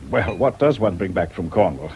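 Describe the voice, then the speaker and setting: An actor playing a genial, dry English doctor with an English accent and a courteous, musing manner. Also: male voice, deep voice, expressive, moderate pace, phone-quality sound, a little hiss or hum. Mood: genial, bemused. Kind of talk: British radio drama acting.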